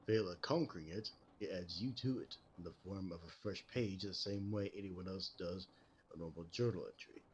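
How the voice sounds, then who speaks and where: A low, grave American voice from a man in character as an ageless detective in an audio roleplay. He explains things calmly and formally, in measured phrases, over audible background noise. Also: highs cut off, natural voice. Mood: grave, explanatory. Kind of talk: scripted roleplay audio drama.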